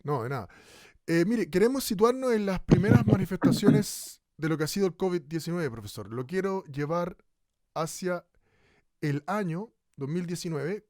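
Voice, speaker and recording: A man's voice: high voice, animated, moderate pace, darkish tone, no echo. A man playing a solemn Chilean radio host. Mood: earnest. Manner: solemn, formal, speaks of hope. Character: earnest male radio host in a post-pandemic future. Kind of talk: radio drama acting. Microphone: studio microphone.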